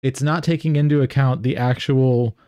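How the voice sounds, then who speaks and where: A man in a casual panel discussion, speaking in a halting, deliberate way as he qualifies his points. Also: medium pitch, fairly even intonation, mellow, smooth, dry recording. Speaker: podcast panelist.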